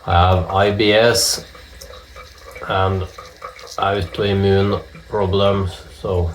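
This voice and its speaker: A man with a Norwegian accent chatting casually while he shaves, joking and swearing in relaxed asides. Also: deep voice, drawn-out words, slight background noise. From Norway. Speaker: vlogger shaving with a straight razor. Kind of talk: casual talk while shaving. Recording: echoey room with dripping water.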